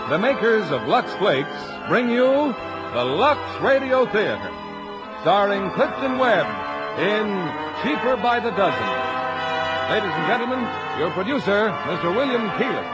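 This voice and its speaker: High, grand male radio announcer. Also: sing-song, unhurried, over loud music. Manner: grand, projected delivery. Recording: dull old radio broadcast recording.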